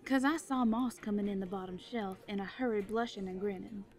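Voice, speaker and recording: An actress playing a teasing, encouraging woman confidante, coaxing, playful and often hushed, in a conversational audio drama. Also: mid-range voice, a little fast, natural voice.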